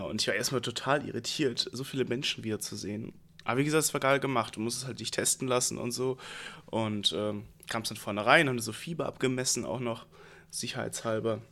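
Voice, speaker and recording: A young man with a stuffy nose from a cold, telling a relaxed, rambling story in slangy casual chat as a podcast host. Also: mid-range voice.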